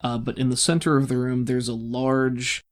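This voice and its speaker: A man with a medium-pitched voice runs a tabletop roleplaying game. He sets scenes descriptively and off the cuff, pausing to hunt for the right word. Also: little pitch movement. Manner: descriptive, improvised, hesitant searching for words. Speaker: game master.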